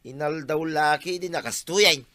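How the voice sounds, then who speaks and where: Neighbourly but grumbling villager, emphatic, bright tense voice, comic radio drama. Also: male voice, on the high side, measured pace, dry recording. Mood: irritated. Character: plain-spoken village man, helpful but quick to complain.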